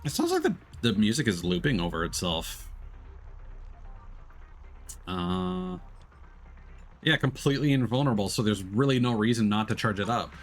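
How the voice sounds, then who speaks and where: A man's voice: mid-range voice, sing-song, moderate pace, creaky, pauses often. A man streaming a video game, thinking aloud in casual, analytical commentary. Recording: loud game music behind. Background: loud video game music.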